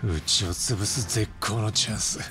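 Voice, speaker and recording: A man playing a grave, composed middle-aged crime boss in a Japanese video game cutscene, speaking in a measured, solemn way. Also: fairly low pitch, music in the background, close to an everyday voice.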